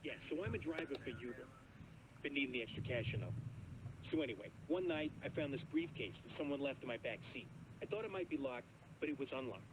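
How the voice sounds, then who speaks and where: An actor playing a rideshare driver who calls in with a strange story. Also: male voice, medium pitch, expressive, fast, background noise, natural voice. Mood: uneasy. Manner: hesitant, rambling storyteller. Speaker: caller.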